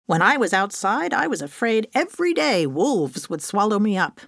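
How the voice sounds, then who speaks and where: A woman voicing a cheerful, clever duck in a high, sing-song storybook voice. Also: sing-song, moderate pace, gravelly, a bit bright, no room sound, clean studio sound, full character voice.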